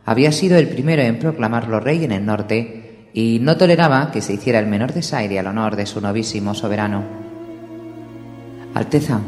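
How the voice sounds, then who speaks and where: A man's voice: fairly low pitch, a little fast, darkish tone. Man narrating an audiobook in an echoey room. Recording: echoey room with long reverb, faint music.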